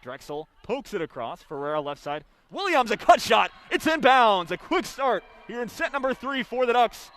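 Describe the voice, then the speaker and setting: A man's voice: extremely high pitch, sing-song, normal speed, hardly any pauses, a bit bright. A young man doing live radio play-by-play of volleyball, with punchy, clipped calls that swell on big plays. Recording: background noise of a sports arena. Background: arena ambience.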